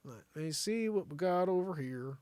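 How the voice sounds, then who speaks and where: A man's voice: fairly high pitch, animated, moderate pace, darkish tone, no echo. A man hosting a live show with casual, slangy, rambling comedic commentary, often thinking aloud. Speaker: live variety show host.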